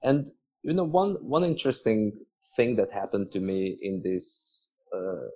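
Male podcast guest, lowish pitch, measured conversational storyteller with hesitations, muffled lo-fi audio. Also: very animated, mellow. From Hungary. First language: Hungarian.

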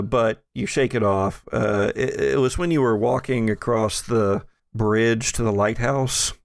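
A man improvising as game master in a tabletop game, speaking haltingly as he searches for words. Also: lowish voice, creaky, dry recording, clean studio sound.

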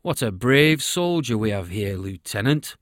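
A man's voice: fairly low pitch, very animated, a little slow, no echo, clean studio sound. A man voicing an arrogant, mocking male enemy captain in a storybook reading, drawing out his taunts. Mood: taunting. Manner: taunting, sneering.

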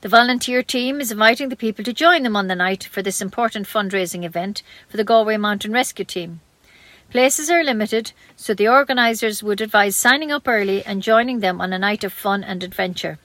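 A woman's voice: mid-range voice, a little fast, fairly bright tone, dry recording. A woman with an Irish accent reads scripted local news as a community radio newsreader.

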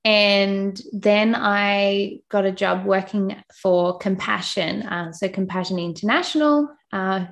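A woman's voice: medium pitch, slow, creaky, few pauses. A young adult woman with an Australian accent, a podcast guest chatting casually and self-deprecatingly with plenty of fillers. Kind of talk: casual interview chat.

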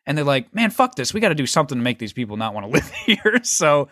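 A man's voice: on the high side, sing-song, fast, a bit bright, no room sound, clean studio sound. A man hosting a podcast, chatting casually and joking, swearing freely. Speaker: podcast host. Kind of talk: casual chat.

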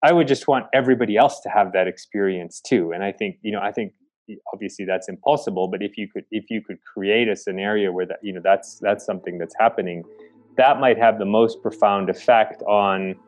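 A man from the Chicago area, a conservation founder answering interview questions in a halting way, with many restarts. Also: on the low side, no echo. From Chicago, United States.

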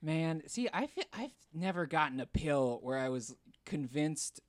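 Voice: medium pitch, lively intonation, measured pace, no room sound. A podcast co-host in a studio chatting playfully and riffing on his partner's jokes. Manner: playful, riffs along with jokes. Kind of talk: casual comedic chat.

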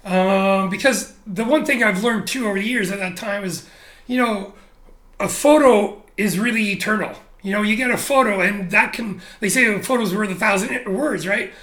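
Bright, high-voiced man telling stories. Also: moderate pace, roomy sound. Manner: animated, candid, swears casually, frequent restarts. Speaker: interview guest, photographer. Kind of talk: casual conversational storytelling.

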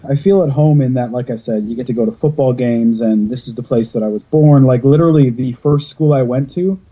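A man's voice: mid-range voice, a little fast, slightly rough, lo-fi sound. A man from Canada chatting casually and jokingly, rambling with fillers and restarts. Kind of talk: casual chat.

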